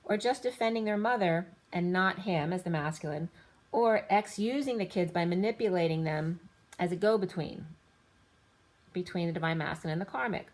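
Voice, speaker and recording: A woman giving a rambling tarot reading, listing cards in loose fragments, her voice going a little hoarse. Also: lowish voice, moderate pace. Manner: rambling, lists card after card in loose fragments. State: slightly losing her voice. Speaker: tarot reader.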